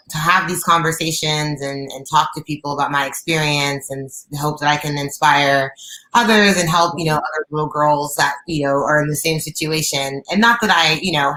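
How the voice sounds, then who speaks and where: A young woman with a low, raspy alto voice, a guest singer talking openly on a video call from her living room, with many fillers and restarts. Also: sharp tone, echoey room, poor audio. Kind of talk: casual interview chat. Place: bright living room at home.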